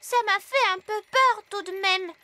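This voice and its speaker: Little girl fairy princess, French cartoon, very high pitch, slow pace, sweet, inquisitive. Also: sharp tone, no room sound, exaggerated voice. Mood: curious, impressed. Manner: innocent, inquisitive.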